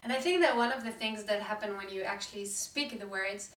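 A woman's voice: medium pitch, brisk, a bit bright. A woman hosting a podcast interview, encouraging her guest with short replies and follow-up questions. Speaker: podcast host. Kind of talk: casual interview chat. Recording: echoey room, distant from the mic.

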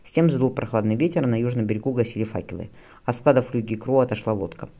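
A man reads fiction aloud in a mid-pitched, gravelly voice. He moves along quickly, tripping over and repeating words as he goes. The narration shifts into acted dialogue. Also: dark tone. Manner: frequent stumbles and repeated words while reading. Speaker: audiobook reader.

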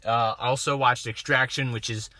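A man's voice: medium pitch, steady tone, moderate pace, sharp tone. A young man with a slight lisp on his S sounds, co-hosting a podcast and rambling about movies in casual chat, often restarting his sentences. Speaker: podcast co-host.

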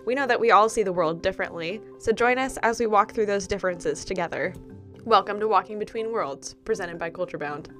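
A woman's voice: medium pitch, fairly quick, fairly bright tone, soft background music. A woman co-hosting a podcast, friendly and upbeat, asking questions with a few restarts. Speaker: podcast co-host.

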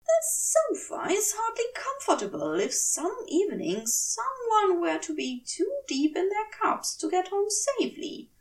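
A woman voicing a fussy, flustered male angel, prim, polite and hesitant, with breathy flutters. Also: extremely high pitch, wildly swooping pitch, unhurried, hardly any pauses, recorded from a distance, voice pushed up, exaggerated voice, rough recording. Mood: defensive.

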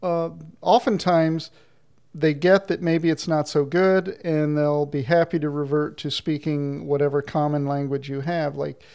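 A man's voice: on the high side, fairly even intonation, unhurried, creaky, darkish tone, clear, resonant tone. A man hosting an interview, asking questions in a friendly, chatty way. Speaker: interviewer host. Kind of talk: casual interview chat.

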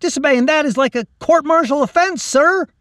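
A man narrator voicing a young rifleman who speaks in a tense, insistent way, higher than his own voice. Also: normal speed, a bit bright, no echo, studio quality. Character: young rifleman, nervous and rule-bound.

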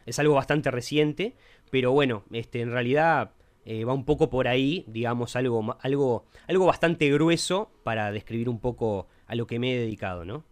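A young man with a Uruguayan accent, co-hosting a casual podcast chat in a relaxed, rambling way with frequent fillers. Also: mid-range voice, normal speed. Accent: Uruguayan Spanish. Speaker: podcast co-host.

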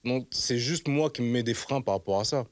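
A man's voice: mid-range voice, no echo. A man, the host of a web channel, answering interview questions in a casual, emphatic way and checking that the listener follows him. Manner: emphatic, rapid, keeps asking "you see what I mean?".

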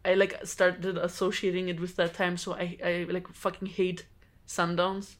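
A woman from Georgia, a native Georgian speaker, telling a personal story in a casual group chat with animated bursts and laughs between phrases. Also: on the low side, moderate pace. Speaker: podcast co-host.

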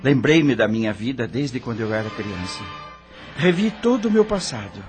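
A male actor plays a dead rock star telling his own story in a Brazilian radio drama. The voice is mid-pitched, solemn and theatrical, heavy with torment and regret. Faint music plays underneath. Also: animated, moderate pace, close to an everyday voice. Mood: fearful.

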